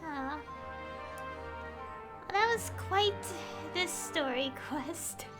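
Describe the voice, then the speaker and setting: A woman's voice: high-pitched, expressive, drawn-out words. A young woman streaming a game, chatting casually and rambling with many fillers and little laughs. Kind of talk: casual gameplay commentary. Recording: game music playing behind.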